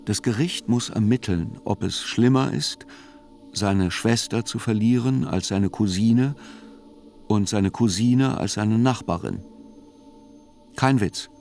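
Sober court chronicler, low voice, measured radio play narration, faint music underneath. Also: male voice, darkish tone.

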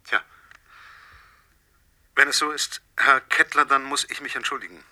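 An actor playing a vengeful stranger in a radio play, speaking with measured, mock-polite menace and deliberate taunts. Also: male voice, medium pitch, fairly even intonation, sharp tone. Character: vengeful male stranger, self-styled nobody, coldly menacing caller.

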